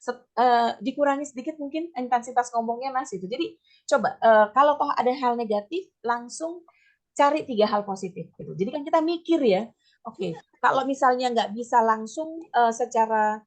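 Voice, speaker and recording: A middle-aged woman with a slightly hoarse voice, giving an informal teachers' workshop talk in a chatty, step-by-step way sprinkled with English terms. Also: on the high side, normal speed. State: slightly hoarse, voice not back to normal. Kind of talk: informal training talk with personal examples.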